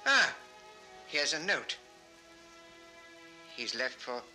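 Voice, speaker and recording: An actor playing a concerned, kindly gentleman doctor, earnest and expressive, on a 1960s children's record. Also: mid-range voice, very slow, faint music behind, full character voice. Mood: anxious.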